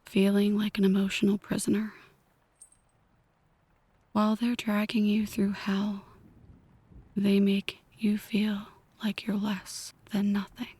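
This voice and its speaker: A woman playing a possessive, brooding mafia boss in an ASMR roleplay, speaking in a breathy whisper, deliberately and intimately to one listener. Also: medium pitch, monotone, mellow. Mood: bitter, brooding.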